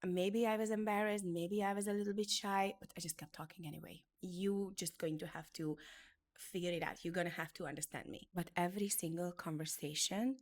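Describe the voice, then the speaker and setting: A woman's voice: on the low side, steady tone, fairly quick. Hungarian-born woman giving a motivational talk. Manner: earnest, emphatic, repeats phrases for effect. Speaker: beauty business educator.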